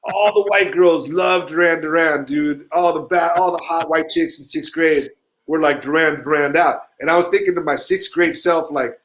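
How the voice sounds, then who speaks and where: A middle-aged man from Chile telling rambling, profanity-laced stories in a casual chat, animated, with frequent asides. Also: a little high, normal speed. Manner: rambling, swearing, animated storyteller. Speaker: musician telling stories on a show.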